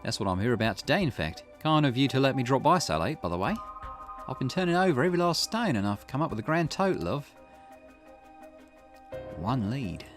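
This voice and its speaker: A man's voice: medium pitch, very animated, a little fast, soft background music, pitched above the performer's own voice, close to an everyday voice. A man voicing a dry, inquisitive, businesslike male detective in dialogue read from a visual novel. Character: male private investigator, wry, inquisitive and businesslike.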